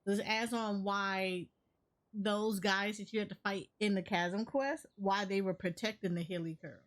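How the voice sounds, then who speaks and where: A woman's voice: medium pitch, steady tone, unhurried. A woman giving casual game reaction commentary, thinking aloud and often repeating herself. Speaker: gaming streamer. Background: game music.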